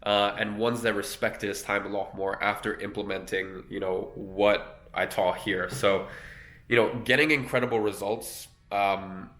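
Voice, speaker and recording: A man's voice: fairly low pitch, steady tone, a little slow, a bit bright. A young man with a cold and a nasal voice, talking casually to camera about his agency with lots of fillers and restarts. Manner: conversational, many fillers and restarts. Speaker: agency owner and online business educator. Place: room at a desk with an editing screen. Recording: echoey room.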